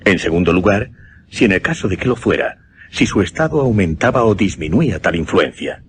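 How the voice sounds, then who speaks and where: An earnest gentleman mesmerist. His telling is formal and deliberate, with widely moving intonation and dramatic pauses, as in a suspense radio play. Faint noise sits behind him. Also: low voice, lively intonation. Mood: grave, intent.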